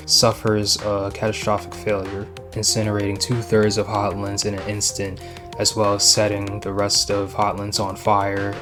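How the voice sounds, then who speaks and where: Low, even-voiced man over music. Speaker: video essay host. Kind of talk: scripted video commentary with casual asides. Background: background music.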